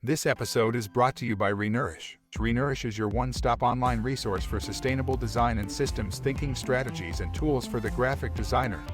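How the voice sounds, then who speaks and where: Male announcer for a sponsor spot, medium voice, music bed clearly audible. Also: medium pitch. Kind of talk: scripted sponsor read.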